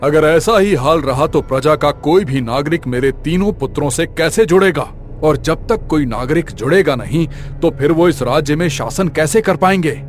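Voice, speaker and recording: A man playing a proud, anxious middle-aged king with a dramatic, regal, brooding delivery in an animated tale. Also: a little high, moderate pace, few pauses, faint music behind, lightly acted. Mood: grave. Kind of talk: animated moral tale voice acting.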